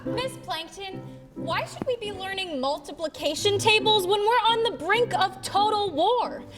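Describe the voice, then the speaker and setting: Woman playing an earnest, very high-voiced teenage fish girl. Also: lively intonation, measured pace, loud music behind the voice. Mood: earnest, insistent. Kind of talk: theatrical musical stage acting.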